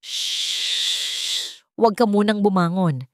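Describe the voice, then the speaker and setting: A woman plays a wealthy father in an audio drama, tender and remorseful. The delivery is soft, earnest and protective, with lively pitch and a dry recording. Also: slow pace, no echo. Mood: tender, concerned.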